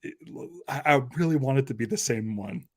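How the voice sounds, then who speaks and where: Mid-pitched man chatting casually. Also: brisk, darkish tone. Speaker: podcast panelist. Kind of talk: casual pop-culture discussion.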